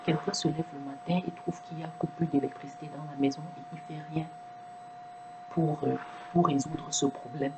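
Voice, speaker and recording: A woman with a low voice whose first language is not French talks earnestly and reflectively, often restarting and repeating words as she searches for them. A steady hum runs clearly beneath her voice. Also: moderate pace. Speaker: video presenter. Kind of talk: reflective monologue to camera.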